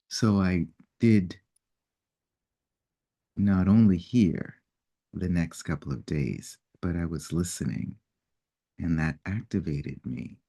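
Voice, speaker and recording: Deep slow guy, mellow voice, reflective storytelling, acts out quotes now and then. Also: creaky. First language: English. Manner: unhurried, reflective storytelling with lively acted-out quotes. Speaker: personal development facilitator. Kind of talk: personal storytelling talk.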